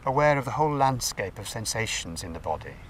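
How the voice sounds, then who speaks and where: Man guiding meditation, expressive, distant mic. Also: fairly low pitch. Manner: gentle, unhurried instruction with pauses. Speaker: meditation teacher. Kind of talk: guided meditation. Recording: distant mic, faint background noise.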